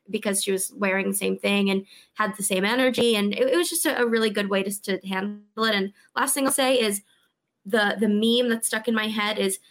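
A hoarse woman chatting casually as a guest on a roundtable podcast, talking in loose restarts. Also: medium pitch, fairly bright tone.

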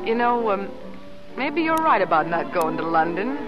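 A woman's voice: mid-range voice, very animated, normal speed, natural voice. Woman acting a brassy flirtatious showgirl, swooping delivery. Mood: teasing, flirtatious. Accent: American. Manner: teasing, slangy, bold. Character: brassy, flirtatious, self-assured showgirl, Miss LaRue. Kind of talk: 1930s film acting. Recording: dull vintage film sound, music behind.

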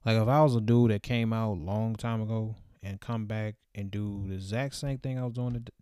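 A man's voice: lowish voice, fairly even intonation, brisk, dark tone, clean tone, no echo. A man in his twenties talking to camera in a loose, reflective monologue full of fillers, recorded in a small room. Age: 20s. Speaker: vlogger. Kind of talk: casual rambling monologue.

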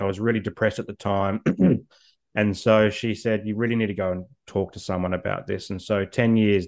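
Reflective man, low voice. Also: vocal fry. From Australia. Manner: reflective, frequent ums and repeated words. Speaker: guest. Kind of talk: personal story in an interview.

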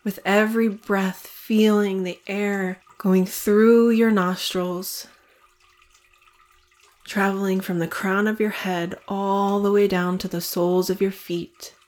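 Slow, soothing woman guiding meditation. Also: mid-range voice, level tone, a little mellow. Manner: slow, soothing, evenly paced with long pauses. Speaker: meditation guide. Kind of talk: guided meditation.

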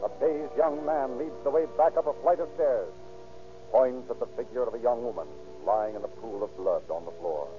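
An actor as a grave narrator delivering dramatic scripted narration for an old radio crime story. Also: male voice, medium pitch, moderate pace, soft background music, lightly acted. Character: grave crime-story narrator who also voices an officer. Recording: muffled old radio recording.

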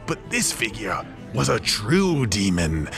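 An actor playing a folksy, talkative tavern keeper in a fantasy game, using old-timey turns of phrase. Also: male voice, lowish voice, sing-song, takes it slowly, gravelly, exaggerated voice. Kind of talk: animated comedic fantasy voice acting. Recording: music behind the voice.

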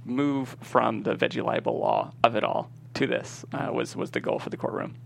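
Very gravelly man in a casual interview. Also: mid-range voice, steady tone, moderate pace. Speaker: screenwriter guest.

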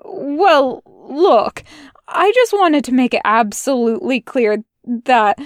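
A bewildered male demon from a storybook reading, stammering and babbling as he trails off, as a woman narrator voices him. The voice is smooth and slow, with a dry, close sound. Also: high-pitched, very animated, no echo, heavily acted. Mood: thrown.

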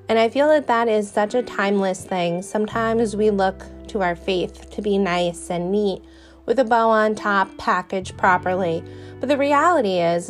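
A young adult woman with a New York accent, hosting a podcast and talking in an earnest, chatty way about her faith. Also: mid-range voice. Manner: earnest and chatty, with fillers and restarts. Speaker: podcast host. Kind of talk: casual faith podcast monologue.

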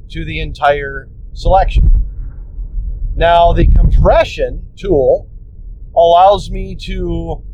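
A man hosting a podcast, casually explaining how he edits his episodes, rambling with restarts and mild swearing, recorded on a phone in a car. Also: a little mellow. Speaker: podcast host. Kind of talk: casual instructional talk. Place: inside a car on the road. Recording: muffled phone recording with road noise. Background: road rumble.

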